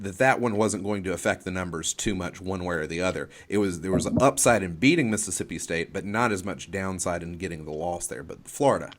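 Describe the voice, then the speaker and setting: A man giving off-the-cuff sports analysis in an explanatory way, often restarting and repeating his phrases. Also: low-pitched. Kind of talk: off-the-cuff college basketball analysis.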